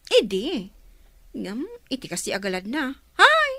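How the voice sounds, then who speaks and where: An actress playing an expressive, outspoken young office worker and loyal friend in an Ilocano radio drama. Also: female voice, fairly high pitch, a little slow, no echo, clean studio sound, full character voice. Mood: exasperated, gossipy.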